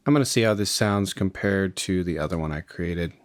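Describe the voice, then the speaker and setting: Low-voiced man giving a casual tutorial. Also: fairly quick, soft background music. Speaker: music production tutorial host. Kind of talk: casual step-by-step software tutorial narration.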